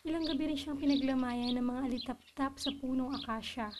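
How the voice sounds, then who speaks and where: A woman reciting literary poetry in a solemn manner with measured phrasing. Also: high-pitched, background noise. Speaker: poetry reader.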